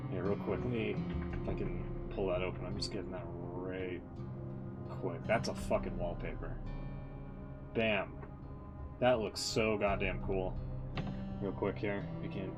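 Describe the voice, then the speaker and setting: A man livestreaming casual, rambling commentary on a video game, opinionated, slangy and quick to swear. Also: on the low side.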